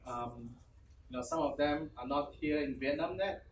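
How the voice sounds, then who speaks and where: A man from Malaysia giving a relaxed, casual conference talk as a program manager, filling pauses with many uhs. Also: fairly high pitch. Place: conference hall. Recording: echoey hall, distant mic, clear noise.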